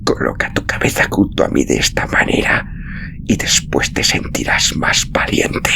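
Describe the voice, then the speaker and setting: A man playing an exhausted, gently protective traveler near death in a storybook reading, murmuring slowly in a low, breathy, gravelly voice. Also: whispered, lightly acted. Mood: weary, tender. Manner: slow, halting, tender. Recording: clearly noisy recording.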